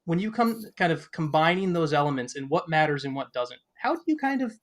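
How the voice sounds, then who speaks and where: Fast-talking, higher-voiced man hosting sports podcast. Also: sing-song. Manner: long-winded, rephrases questions as he goes. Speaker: podcast host. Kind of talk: conversational sports podcast interviewing.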